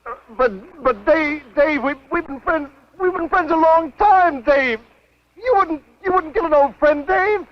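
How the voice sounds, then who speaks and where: A man's voice: very high-pitched, unhurried, slight background noise. An actor playing a cowardly American man who stammers and whines as he begs an armed old friend for his life in a 1950s B-movie. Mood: pleading. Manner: pleading, stammering, whining, repetitive. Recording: dull 1950s film soundtrack with loud music.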